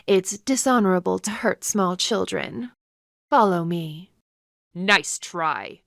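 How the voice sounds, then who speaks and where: A woman's voice: lowish voice, lively intonation, takes it slowly, gravelly, fairly bright tone, no echo, studio quality, heavily acted. An actress voicing a stern, haughty female panda palace enforcer in a video game, formal, commanding and disdainful. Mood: stern, official.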